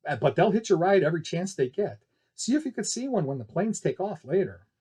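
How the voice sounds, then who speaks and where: Man reading as a bold young woman pilot, slightly husky. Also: high-pitched, very animated, brisk, mellow, voice pushed up, exaggerated voice. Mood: friendly, confiding. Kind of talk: audiobook character dialogue.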